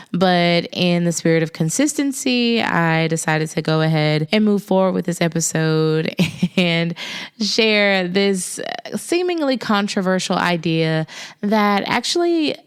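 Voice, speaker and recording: A young woman hosting a podcast, talking casually and personally with a raspy voice left over from an illness. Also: on the low side. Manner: chatty, personal, self-deprecating. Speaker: podcast host. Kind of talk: casual solo podcast talk.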